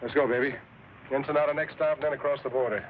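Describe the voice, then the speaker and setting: Man playing a wry, quick-talking American fugitive, old radio. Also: medium pitch, steady tone, natural voice. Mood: brisk, cool. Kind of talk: 1940s film noir radio acting. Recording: muffled, hissy old radio recording.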